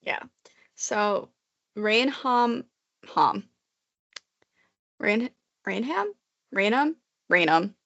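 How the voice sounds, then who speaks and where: A woman's voice: mid-range voice, expressive, drawn-out words, gravelly, long pauses, a bit bright. A young woman co-hosting a podcast, chatting casually and rambling with many fillers. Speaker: podcast co-host. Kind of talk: casual chat. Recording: muffled lo-fi recording.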